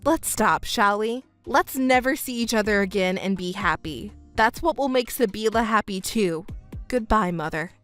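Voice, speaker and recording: A composed young-sounding stepdaughter played by a woman speaks with cool, pointed firmness. Her delivery is measured and reproachful, noticeably lower than a shrill register, in scripted family drama style with faint music behind. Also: lively intonation, natural voice. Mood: firm resignation.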